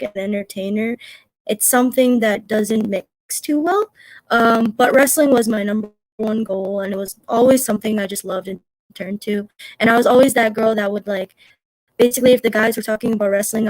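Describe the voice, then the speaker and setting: A woman's voice: mid-range voice, darkish tone, no echo, poor audio. A young woman from Canada, a wrestler answering interview questions in a chatty way, full of "like" and "um". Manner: chatty, many "like" and "um" fillers.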